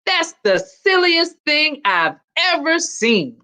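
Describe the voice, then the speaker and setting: A woman's voice: sits high, big pitch swings, slow, sharp tone, pitched above the performer's own voice, full character voice. A woman voicing a skeptical female farm cow in a children's storybook, with an amused, disbelieving manner.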